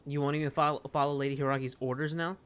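A man's voice: a little high, fairly even intonation, rapid-fire, fairly bright tone, a little hiss or hum. A man doing casual game playthrough commentary, reading dialogue aloud and chatting as he plays. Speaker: gaming video creator.

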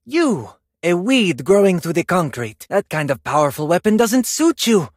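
A vain Spanish ballet dancer from a video game. His voice is husky and swings widely in pitch, with a Spanish accent and a theatrical, self-admiring flourish. The sound is dry and close. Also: big pitch swings, normal speed, no echo. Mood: vain, playful. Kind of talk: video game character voice lines.

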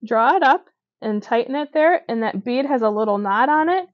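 A woman's voice: a little high, lively intonation, normal speed, no room sound, muffled recording. A woman demonstrating a beaded edging stitch step by step, talking as she works with fillers and small self-corrections. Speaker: stitching instructor. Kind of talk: hands-on craft demonstration. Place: indoors at a table.

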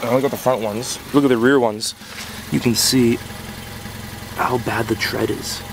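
A man's voice: mid-range voice, normal speed, gravelly, noisy background. Rambling young man vlogging from his car. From Canada. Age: young adult. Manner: relaxed, rambling, lots of ums and restarts. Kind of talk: casual car vlog chat. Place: inside a small car, later outdoors in a snowy lakeside parking lot.

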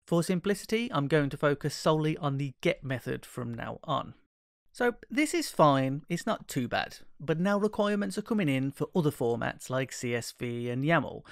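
A man narrating a programming tutorial in a clear, steady, explanatory way. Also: medium pitch, no room sound, clean studio sound. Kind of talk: scripted screencast narration.

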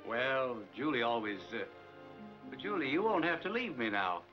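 An actor playing a flustered, mild-mannered gentleman in a 1940s film comedy. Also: medium pitch, big pitch swings, moderate pace, lightly acted. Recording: muffled 1940s film soundtrack, loud music.

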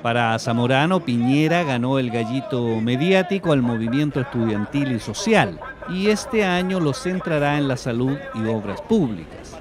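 A man with a Chilean accent narrating a regional television news report in a measured, scripted way. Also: medium pitch, expressive. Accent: Chilean Spanish.

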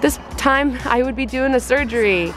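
A woman's voice: sits high, moderate pace, music in the background. A young woman chatting casually into a handheld camera on a camping trip, laughing often. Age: young adult. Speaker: vlogger. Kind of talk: casual vlog chat.

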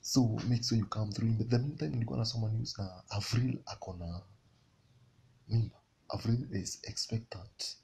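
A comedian chatting gossip into a phone selfie camera. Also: male voice, lowish voice, normal speed, creaky, a little mellow, slight background noise. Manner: casual, gossipy. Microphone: phone selfie camera close up.

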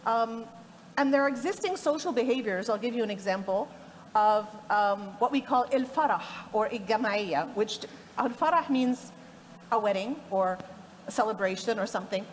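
A woman's voice: fairly high pitch, normal speed. A woman in her forties from Egypt, speaking as a panelist in an explanatory way and tagging her points with "right?". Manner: explanatory, gestures a lot, tags points with "right?". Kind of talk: panel discussion talk. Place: indoor conference panel stage. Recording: long hall reverb, faint noise.

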